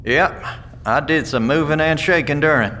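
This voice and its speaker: An actor playing a wary, plain-spoken apocalypse survivor in an audio drama, with a folksy, laconic way of talking. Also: male voice, a little high, moderate pace, pushed and bright, a little hiss or hum. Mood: earnest, hesitant. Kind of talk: naturalistic audio drama dialogue.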